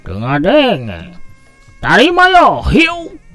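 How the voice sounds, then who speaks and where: A man voicing a mocking old male martial arts master who cackles and taunts in slow, drawn-out words. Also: high-pitched, wildly swooping pitch, fairly bright tone, faint music behind, voice pushed up, exaggerated voice. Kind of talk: storytelling with character voices.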